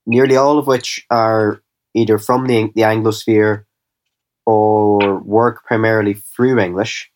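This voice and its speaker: A man interviewing a guest, putting measured, probing questions to him. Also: on the low side, fairly even intonation.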